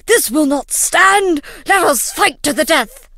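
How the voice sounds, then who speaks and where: A naive little gummy bear in a video game. The voice is squeaky and high, drawn out and swooping with melodrama, recorded dry. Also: female voice, expressive, slow, pushed and bright, dry recording, exaggerated voice. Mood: melodramatic.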